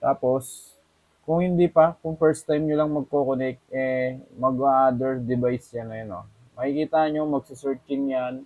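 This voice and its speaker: A man with a Filipino accent presents a casual, step-by-step tutorial as a vlogger. His voice sits at an ordinary pitch, and the recording is very dry with little room sound. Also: steady tone, unhurried, no room sound, narrow, tinny audio.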